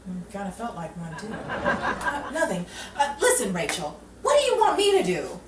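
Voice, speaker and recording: A woman's voice: medium pitch, sing-song, moderate pace, close to an everyday voice. A woman playing a put-upon 1940s Hollywood woman, wisecracking with dry exasperation in a radio comedy style. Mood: sarcastic. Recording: roomy, distant mic with faint noise.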